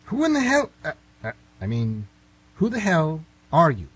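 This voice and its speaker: Man for exasperated novelist, amateur radio play. Very raspy, animated intonation, stammering and sarcastic. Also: on the high side, normal speed, exaggerated voice. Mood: confused, exasperated. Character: bewildered, exasperated male novelist, abducted and grumbling. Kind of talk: amateur radio comedy acting.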